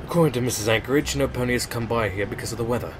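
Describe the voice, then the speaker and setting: An actor playing a plain-spoken pony police inspector in an audio drama, reporting in a blunt, matter-of-fact way. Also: male voice, mid-range voice, very fast, natural voice. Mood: brisk, concerned. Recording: loud rain-like noise behind voice.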